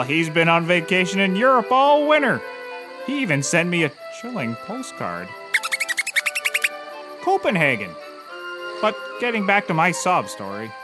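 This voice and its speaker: An eccentric self-pitying private eye, high swoopy voice, dramatic rambling comedy bit, music underneath. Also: male voice, full character voice, low-quality recording. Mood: bummed. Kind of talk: scripted comedy monologue.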